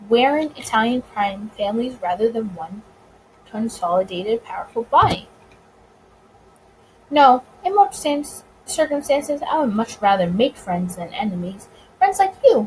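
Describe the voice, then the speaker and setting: A suave, teasing bootlegger gentleman from a visual novel, read out by a woman. The voice is fairly high and very animated, with polite, self-assured phrasing. Noise sits in the background. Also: low-quality recording. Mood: smooth, assured. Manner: courteous, wordy, self-assured. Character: suave, smug, teasing male gangster bootlegger of 1920s America.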